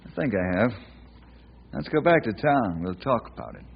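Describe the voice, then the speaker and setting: An actor playing a brave, devout, wry ranger hero in an old time radio western. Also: male voice, lowish voice, big pitch swings, moderate pace, gravelly. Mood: confident. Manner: wry, reassuring, homespun. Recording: muffled, noisy old radio recording.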